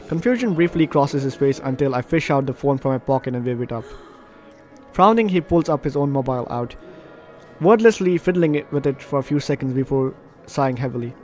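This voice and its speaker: A man doing let's play commentary, reading game text aloud in an animated, slightly stumbling way. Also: medium pitch. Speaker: video game streamer. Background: game music.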